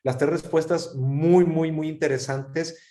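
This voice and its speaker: A man's voice: a little high, steady tone, a little slow, warm tone. A man moderating a panel discussion who speaks in a thoughtful way and often repeats words while he searches for a phrase.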